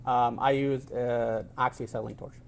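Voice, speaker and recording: Gravelly middle-aged man testifying in court. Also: medium pitch, level tone. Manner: measured, technical answers with many hesitations; polite and formal with the questioner. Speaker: expert witness.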